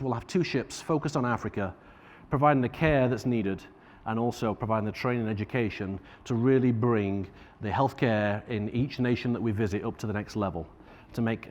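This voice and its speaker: Male keynote speaker, Northern English accent, medium pitch, informal halting delivery, echoing large-room sound. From Northern England. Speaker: charity corporate partnerships manager giving a keynote. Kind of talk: conference keynote speech to an audience. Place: large conference room, on stage. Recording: echoey hall reverb.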